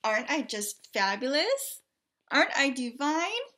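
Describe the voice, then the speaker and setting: A woman voicing a vain, bossy male pug who boasts loudly in a children's storybook. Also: high voice, sing-song, unhurried, exaggerated voice. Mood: preening. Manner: boastful, loud, shouting exclamations.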